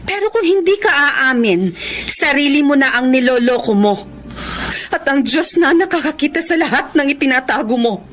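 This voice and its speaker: A woman's voice: high voice, lively intonation, moderate pace. An actress playing a betrayed, devout housewife and mother in a Tagalog radio drama, with a Filipino accent and heartfelt, emotive delivery. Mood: accusing, hurt. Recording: radio drama with loud music underneath.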